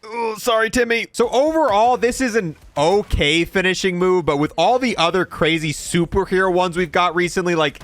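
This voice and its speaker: A man's voice: high-pitched, moderate pace, few pauses, fairly bright tone, dry recording. A young man doing casual gameplay review commentary, informal and thinking aloud. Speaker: gaming video host.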